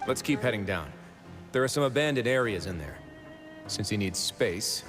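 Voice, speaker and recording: A low male voice over clear game music, an actor's performance. He is a stern prison overseer from a video game, measured and formal, who gives orders with calm authority and weighs every word. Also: natural voice.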